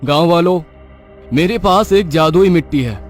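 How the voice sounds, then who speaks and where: Smooth-talking cartoon merchant played by a man, music behind. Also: fairly high pitch, moderate pace, natural voice. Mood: salesmanlike. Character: smug, cunning male village merchant selling false promises. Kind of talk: Hindi cartoon moral story dialogue. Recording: loud music under the voice.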